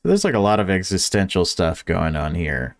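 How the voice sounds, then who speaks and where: A man's voice: low voice, very animated, a little fast. A man reading visual novel story text aloud and adding casual commentary as a gaming video creator.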